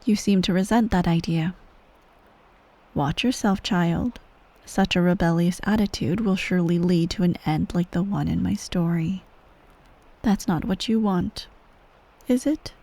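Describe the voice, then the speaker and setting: A woman playing the cold, manipulative ruler of an evil empire and stern parent in an ASMR bedtime roleplay, speaking in a measured, condescending way that often softens to a near-whisper. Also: fairly low pitch. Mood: menacing, possessive. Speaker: voice actor in a roleplay audio. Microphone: close microphone.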